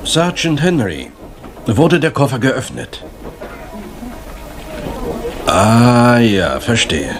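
Casting: a blustering, sceptical police chief, radio crime play, lively range, audible background noise. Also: male voice, medium pitch, very slow, long pauses, full character voice. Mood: brisk, official. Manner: brusque, blustering, sarcastic. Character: gruff, sceptical, exasperated male English police chief inspector. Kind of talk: German radio crime drama acting.

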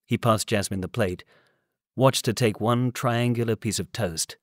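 A man's voice: fairly low pitch, a little slow, dry recording, studio quality. A man reading an audiobook, giving scripted story narration. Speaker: audiobook reader.

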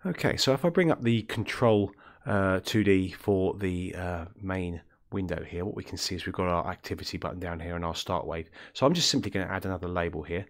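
A man with a deep, throaty voice from illness, talking through a coding tutorial in a rambling way, with many ums and self-corrections. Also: a little fast, few pauses. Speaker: game development tutorial host. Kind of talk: screen-recorded coding tutorial.

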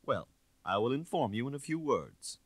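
A man voicing a middle-aged, shabby ex-detective in a story, condescending and smoothly persuasive with quiet menace. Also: medium pitch, big pitch swings, normal speed, dry recording, voice pushed up. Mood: coolly menacing.

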